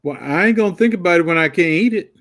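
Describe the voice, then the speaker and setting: A man's voice: high-pitched, expressive, fast, a bit bright. A man, chatting casually on a livestream, often stammering and restarting his sentences. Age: 60s. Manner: stammering restarts, Southern vernacular, good-natured. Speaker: livestream co-host.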